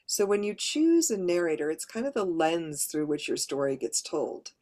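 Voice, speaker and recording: A woman with a mid to lower voice who narrates audiobooks, giving a practical, conversational workshop presentation to writers. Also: sing-song, normal speed, warm tone. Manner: practical, conversational, explaining step by step.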